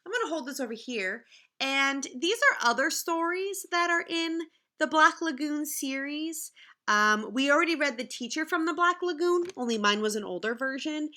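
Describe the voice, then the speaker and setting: A woman reading a picture book aloud in the manner of a teacher, expressive and explaining things simply to children. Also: high voice, normal speed. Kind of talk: animated children's read-aloud with asides to the listeners.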